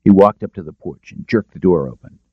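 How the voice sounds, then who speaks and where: A man's voice: low voice, fairly quick, no echo, lo-fi sound. A man reading a detective novel aloud as a scripted audiobook narration.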